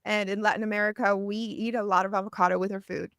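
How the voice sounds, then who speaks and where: A woman's voice: medium pitch, flat delivery, fast, a bit bright, clear, resonant tone, dry recording, studio quality. A young woman from El Salvador hosting a podcast, upbeat and chatty, saying "like" often. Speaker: podcast host. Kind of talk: casual interview chat.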